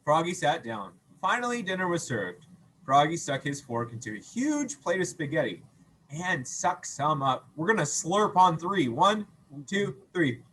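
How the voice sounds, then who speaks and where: A man teaches through an expressive story reading, playful and full of sound effects. His voice is high and bright and moves up and down a lot, over faint background noise. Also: measured pace, low-quality recording. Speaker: read-aloud teacher. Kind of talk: animated children's story reading with questions.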